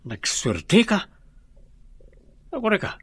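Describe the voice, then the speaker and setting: A man's voice: high-pitched, huge pitch swings, slow, a bit bright, slightly rough, no room sound, heavily acted. A man playing a gossipy, frank friend and confidant in a radio drama. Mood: impressed, gossipy. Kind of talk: Ilocano radio drama acting.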